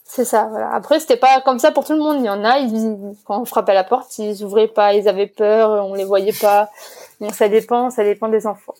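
A woman's voice: medium pitch, steady tone, brisk, a little mellow. A woman in her twenties answering as a guest in a casual interview, chatty and explanatory, given to easy laughter. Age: 20s.

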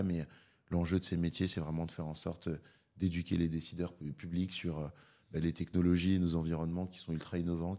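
A young Parisian man in his twenties, a podcast guest, explaining his career in a measured way with many hesitations. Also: deep voice, mellow. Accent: Parisian French. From France. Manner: measured, filler-heavy, explanatory. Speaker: podcast guest, public affairs director. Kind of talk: casual interview talk.

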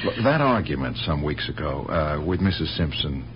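An actor playing a dogged, skeptical police lieutenant in a radio drama, questioning people insistently. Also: male voice, very deep voice, very animated, normal speed. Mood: exasperated, concerned. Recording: dull, hissy old radio broadcast.

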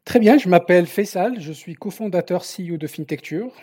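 A man's voice: sits high, lively intonation, fairly quick, mellow, husky, dry recording. Man in his thirties, native Berber speaker, dry sound. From southern Morocco. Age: 30s. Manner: hesitant, repeating words as he searches for phrasing. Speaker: podcast guest, company founder. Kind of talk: casual autobiographical interview.

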